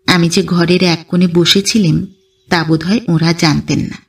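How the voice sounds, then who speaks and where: A woman reading a literary story in the first person, with measured, expressive delivery as an audio story narrator. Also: fairly low pitch, far from the mic.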